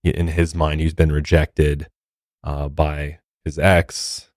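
A man's voice: extremely low pitch, level tone, slow pace, a little mellow, no echo, studio quality. A man co-hosting a podcast, giving casual, opinionated commentary. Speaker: podcast co-host.

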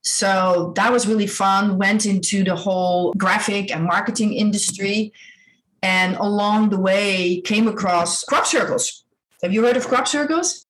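A woman's voice: mid-range voice, a little slow, slight background noise, poor audio. A woman who grew up in the Netherlands, a guest on an interview show, talking in an earnest, searching way. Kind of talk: casual interview chat.

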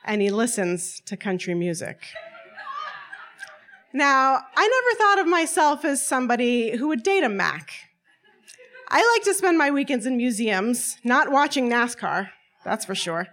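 A woman's voice: on the high side, unhurried. A woman in her twenties telling a personal story to a live audience on stage, wry and often laughing as she talks. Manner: lively, wry, often laughing through lines.

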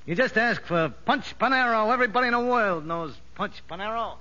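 Gruff, loyal Italian hoodlum convict, blustering and slangy, highish voice, dull old radio sound. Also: male voice, expressive, fairly quick, bright, forceful tone, soft background music. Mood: gruffly affectionate. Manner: rough slangy talk, blustering. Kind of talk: 1950s radio drama acting. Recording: dull vintage 1950s radio recording.

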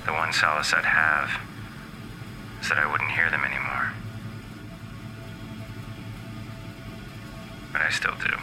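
A man playing a haunted, bitter scientist gone menacing, giving a brooding, deliberate audio drama monologue as a voicemail. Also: very deep voice, low-quality recording.

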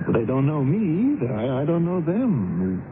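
An actor in a 1970s radio drama playing an easygoing, smitten young motorcyclist who talks in a casual, halting, colloquial way. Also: male voice, fairly high pitch, wildly swooping pitch. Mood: smitten, teasing. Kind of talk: 1970s radio drama acting, dialogue and narration. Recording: noisy phone-like old radio broadcast.

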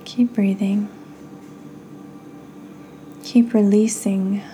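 A woman hosting a guided meditation, speaking soothingly in short phrases with long pauses and breathy endings. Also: mid-range voice, little pitch movement, mellow, slight background noise, rough recording. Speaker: podcast host and meditation guide.

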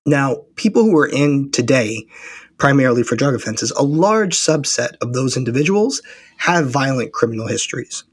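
A man's voice: mid-range voice, expressive, normal speed, husky, no echo, studio quality. An American man speaking as an interview guest, explaining in an analytical, argumentative and precise manner. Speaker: interview guest, policy researcher.

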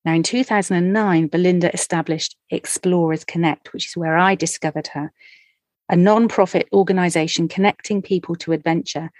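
A woman's voice: lowish voice, steady tone, a little fast, a little mellow, no room sound. A woman hosting a podcast interview, introducing her guest and asking questions with effusive enthusiasm. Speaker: podcast host.

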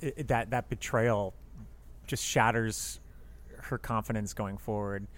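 A man casually discussing a film in a hesitant, tentative way with frequent pauses. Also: fairly low pitch, steady tone. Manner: hesitant, tentative, pausing often. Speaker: podcast panelist.

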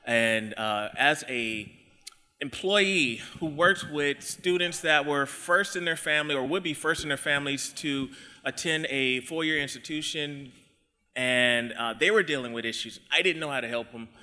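Bright, clear man joking with an audience. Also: on the high side, normal speed. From Texas. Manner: friendly, joking, conversational with restarts. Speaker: podcast host and equity trainer, guest speaker. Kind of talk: live talk to an audience. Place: live event hall. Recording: echoey hall.

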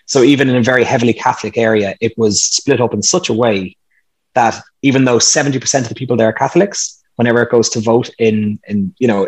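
A man's voice: medium pitch, husky, poor audio. A man talking earnestly in a casual interview, explaining at length with some restarts. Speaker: podcast host, interview guest.

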